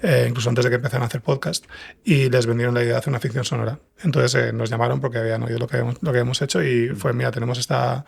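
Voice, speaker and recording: A man's voice: medium pitch, fairly even intonation, rapid-fire, vocal fry, slightly rough. A man explaining things casually as an interview guest, correcting himself along the way. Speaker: guest, fiction podcast writer.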